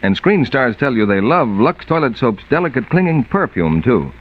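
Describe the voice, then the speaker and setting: Animated vintage male radio announcer. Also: mid-range voice, moderate pace. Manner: polished, emphatic salesmanship. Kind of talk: 1940s scripted commercial announcing and narration. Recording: muffled vintage 1940s radio broadcast.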